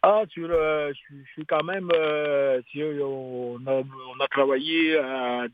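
A man speaks as a radio guest, slowly and haltingly, stumbling through restarts and fillers. His voice comes through a thin, tinny phone line with a faint hiss underneath. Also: mid-range voice, steady tone. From Quebec. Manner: hesitant, many restarts and fillers. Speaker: singer-songwriter guest. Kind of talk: radio interview.

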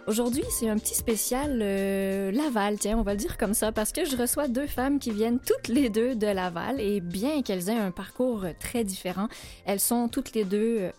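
A woman hosting a radio interview show, speaking gently with many hesitations. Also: fairly high pitch, moderate pace, soft background music.

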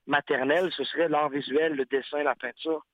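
A reflective man in a relaxed interview chat, his voice slightly high for a man. He often restarts his sentences while he searches for words. The sound comes through dry and tinny, like a phone line. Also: normal speed, no room sound. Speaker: guest artist, rapper and painter. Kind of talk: casual interview conversation.

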